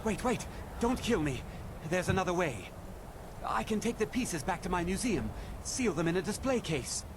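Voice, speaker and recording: A high-voiced, wheedling museum collector. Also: male voice, big pitch swings, normal speed. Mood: frightened, pleading. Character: pleading, bargaining male museum collector, cowardly and wheedling. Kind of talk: fantasy video game voice acting. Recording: loud background noise.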